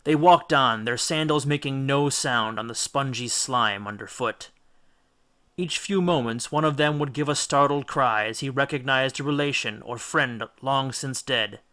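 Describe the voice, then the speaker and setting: A man's voice: medium pitch, unhurried, pushed and bright. A man reading a children's audiobook as a dramatic storyteller. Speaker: audiobook reader. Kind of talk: dramatic scripted storytelling narration.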